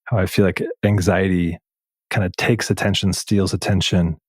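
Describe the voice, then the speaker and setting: Deep-voiced man, closely recorded. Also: little pitch movement, moderate pace, a little mellow, slightly rough, dry recording, clean studio sound. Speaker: podcast host, interviewer. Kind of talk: reflective, conversational interviewing.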